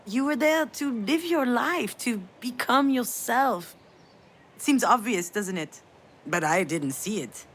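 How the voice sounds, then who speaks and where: Expressive, weary mother in a game. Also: on the high side, slow pace. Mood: tender, confessional. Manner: wry, self-deprecating. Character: weary, grieving mother and writer, wry and self-doubting. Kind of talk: naturalistic video game drama acting.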